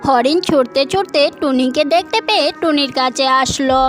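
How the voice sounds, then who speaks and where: Very high-voiced woman narrating a children's story. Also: normal speed, faint music behind.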